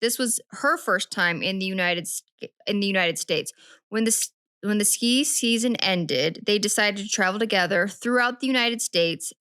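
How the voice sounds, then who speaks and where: A woman co-hosting a true-crime podcast, retelling a case in a chatty, meandering way and fixing her own slips as she goes. Her voice sits at an average pitch for a woman. The sound is dry and close. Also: normal speed, bright, forceful tone, clear, resonant tone, no echo. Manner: chatty, rambling, self-correcting. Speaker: podcast co-host.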